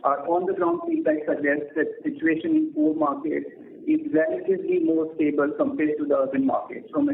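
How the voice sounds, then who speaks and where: Over an echoey, phone-like connection, a man with an Indian accent delivers a scripted financial presentation. His voice is very creaky, and he reads as a company executive would. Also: extremely high pitch. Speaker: bank executive giving earnings remarks. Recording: narrow tinny phone line, echo, faint noise.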